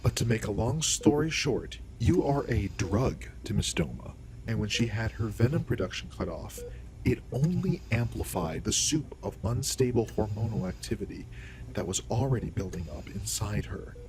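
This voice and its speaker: A calm alien doctor, acted by a man, speaks in a low voice. He explains matters in a measured, reassuring way, as in a sci-fi audio roleplay. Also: rough recording. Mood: grave, explanatory. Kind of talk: science fiction audio roleplay acting.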